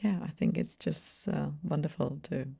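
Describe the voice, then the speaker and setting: Low, creaky-voiced woman in her late forties. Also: normal speed, dark tone. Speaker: podcast guest, personal brand strategist. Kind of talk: casual interview conversation.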